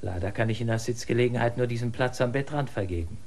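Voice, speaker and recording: An actor in a radio drama playing an official who explains at length in a measured way and rises to urgent insistence. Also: male voice, mid-range voice, a little mellow, natural voice. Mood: explaining.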